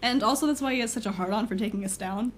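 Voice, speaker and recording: Brash, breezy superhero woman played by an actress, highish voice. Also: very fast, slight background noise, close to an everyday voice. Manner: breezy, swearing, teasing. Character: Scarlet, brash, encouraging superpowered party host. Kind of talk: audio drama acting.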